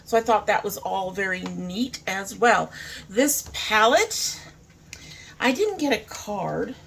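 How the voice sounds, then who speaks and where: Chatty woman with a creaky voice. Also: mid-range voice, animated, slow pace, fairly bright tone, slight background noise. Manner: informal, chatty, thinking aloud. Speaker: beauty vlogger, host. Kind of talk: casual makeup review, chatting to camera.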